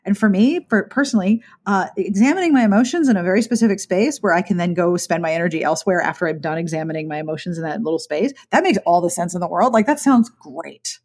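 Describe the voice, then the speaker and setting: A woman's voice: fairly low pitch, lively intonation, fast talker, few pauses, darkish tone. A woman hosting a podcast interview in a talkative, thoughtful, casual way. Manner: thoughtful, talkative, frequent restarts. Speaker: podcast host.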